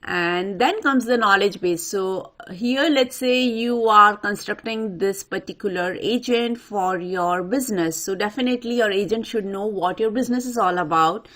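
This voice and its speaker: Woman doing an off the cuff software tutorial, mid pitched voice, explains each step right to the viewer. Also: normal speed, hardly any pauses. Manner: instructional, addresses viewer directly. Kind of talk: step-by-step screen walkthrough, unscripted.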